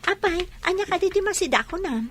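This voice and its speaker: Woman acting sweet high voiced young lady, ilocano accent, caring n teasing, audio drama. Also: animated, a little fast, slight background noise. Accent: Filipino, Ilocano. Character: friendly, caring young woman named Sally, respectful and teasing.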